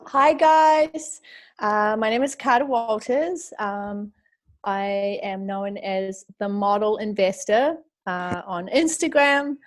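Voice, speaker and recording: A woman in her forties with a New Zealand accent, talking as a podcast guest. Her pitch sits at an ordinary middle level. She chats freely, full of fillers, and often acts out her own past thoughts. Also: slow pace. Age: 40s. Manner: chatty, lots of fillers and quoted self-talk. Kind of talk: casual interview chat.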